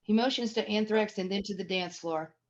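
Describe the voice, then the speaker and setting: A woman's voice: mid-range voice, a little fast. Woman narrator, slightly dull recording. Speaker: narrator reading stage directions. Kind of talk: scripted screenplay narration.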